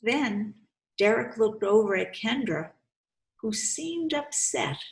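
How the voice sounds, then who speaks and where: Unhurried woman reading to children, roomy echo. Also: fairly high pitch, vocal fry. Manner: expressive, coaxing, emphatic, pauses to question listeners. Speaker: children's author reading aloud. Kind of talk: interactive storybook read-aloud for young children. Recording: roomy, echoey sound.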